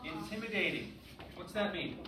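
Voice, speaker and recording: A man's voice: sits high, moderate pace. A man teaching a grade school English class, often checking understanding with short questions. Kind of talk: classroom lesson with read-aloud. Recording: echoey, noisy room, distant mic.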